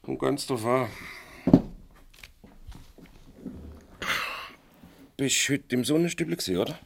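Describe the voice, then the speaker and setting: An actor playing a stern, paternal older boss in a radio play, reproachful and patronising, with a Swiss German accent. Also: male voice, mid-range voice, fairly quick, vocal fry, background noise, close to an everyday voice. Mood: suspicious, needling.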